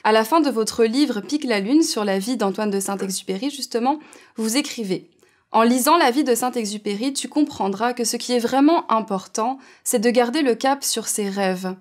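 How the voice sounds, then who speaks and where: Woman interviewer with a Québécois accent; polished, prepared delivery, fairly high pitch. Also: moderate pace. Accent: Québécois French. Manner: polished, reads prepared introductions.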